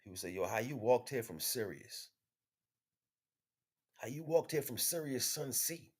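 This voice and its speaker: A man's voice: medium pitch, animated, unhurried, breathy edge. A man hosting an informal spiritual teaching livestream, talking in slang with emphatic, repeated phrases. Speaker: livestream host.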